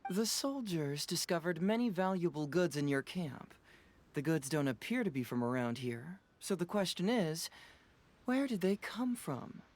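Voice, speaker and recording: A man's voice: fairly high pitch, lively intonation, normal speed, darkish tone, close to an everyday voice. An actor playing a shrewd young male detective in a video game, probing and playfully skeptical.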